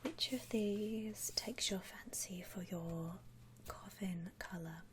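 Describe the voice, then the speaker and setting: A woman softly whispering in an ASMR roleplay as a polite, reassuring shop assistant helping a customer. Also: medium pitch, slow pace, background noise. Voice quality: soft, breathy whisper. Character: courteous, obliging female coffin saleswoman.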